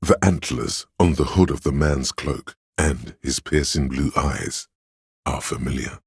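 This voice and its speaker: A pedantic, all-knowing inner voice from a video game. It is extremely low and dry in the recording, with no room around it. The delivery is measured and scholarly, laying out facts like scripted lore. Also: male voice, slightly rough, no room sound, heavily acted. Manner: measured, learned, didactic. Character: pedantic, erudite inner voice of encyclopedic knowledge. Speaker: game character voice, narrator of lore. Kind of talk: scripted narration, delivering trivia.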